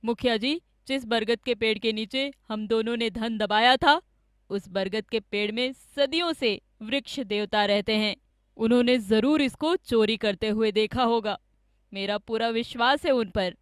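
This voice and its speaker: A woman's voice: on the high side, measured pace, dry recording, studio quality, close to an everyday voice. A woman voicing a scheming, greedy village man with an insistent, dramatic manner in a cartoon story. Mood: indignant, insistent. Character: scheming greedy village man, falsely accusing his friend.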